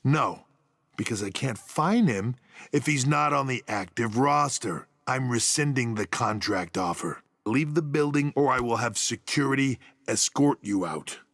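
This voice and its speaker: A man's voice: mid-range voice, expressive, measured pace, vocal fry, a bit bright, dry recording, clean studio sound, full character voice. A man playing a stern, domineering wrestling company executive in a video game cutscene, scolding bluntly and with authority. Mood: stern, angry.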